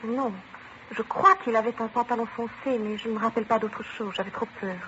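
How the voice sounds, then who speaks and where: An actress playing a frightened, bewildered robbery witness in an old radio drama, distressed and insistent. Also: female voice, a little high, steady tone, fast talker, a bit bright, close to an everyday voice. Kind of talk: 1950s radio drama dialogue. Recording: muffled, noisy old radio broadcast recording.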